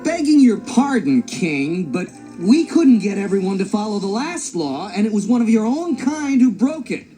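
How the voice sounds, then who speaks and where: A wary zebra objects in a high, soft-edged voice. He is nervy and stubborn, a children's storybook animal with light music behind. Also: sing-song, moderate pace, few pauses, rough recording. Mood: distrustful, objecting. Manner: protesting, nervy. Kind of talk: dramatized children's read-along story.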